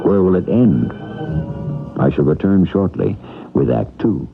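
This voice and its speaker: Male mystery host, very low, dramatic, tinny radio sound. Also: animated, a little slow, gravelly. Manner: grave, sonorous, dramatic recitation. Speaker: show host and narrator. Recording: tinny old radio with music.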